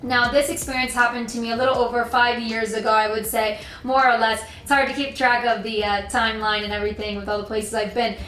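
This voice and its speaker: A young woman with an American accent talks to her viewers in an earnest, meandering way, circling back over her own points. Her voice sits a bit high and comes from some way off the mic in a roomy, echoing space. Music plays clearly underneath. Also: flat delivery, a little fast, few pauses, fairly bright tone, distant mic. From United States. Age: young adult. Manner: earnest, rambling, repeats herself. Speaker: travel vlogger. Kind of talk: casual talk to camera.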